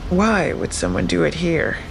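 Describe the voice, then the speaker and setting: An actress playing a plain-spoken, persistent police investigator in an audio drama, matter-of-fact and inquisitive. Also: female voice, on the low side, measured pace, vocal fry, noisy background. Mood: puzzled, questioning.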